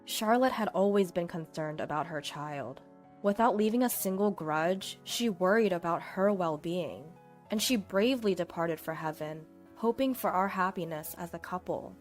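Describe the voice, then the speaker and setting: A woman reading a scripted first-person story as its narrator. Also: lowish voice.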